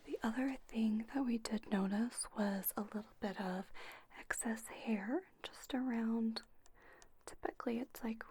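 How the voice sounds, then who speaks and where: A woman whispering breathily in an ASMR spa roleplay, gently giving reassuring step-by-step instructions. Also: a little high, slight background noise. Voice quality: breathy, mostly unvoiced whisper. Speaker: ASMR creator playing a spa owner teaching facials.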